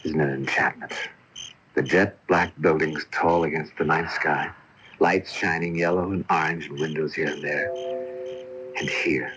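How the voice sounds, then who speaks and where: An actor playing a courtly, melancholy drama coach in a radio drama, speaking eloquently in theatrical, old-fashioned phrases. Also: male voice, rumbling low, fairly even intonation, moderate pace, a bit bright, faint music behind. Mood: enchanted, wistful. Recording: dull lo-fi off-air radio tape.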